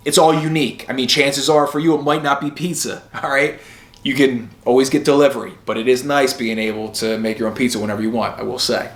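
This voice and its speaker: A man's voice: mid-range voice, steady tone, fast talker. A man hosting a motivational vlog, talking casually in a rambling, blunt, informal way and swearing freely. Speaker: host of a sobriety motivation video series. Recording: roomy, echoey sound.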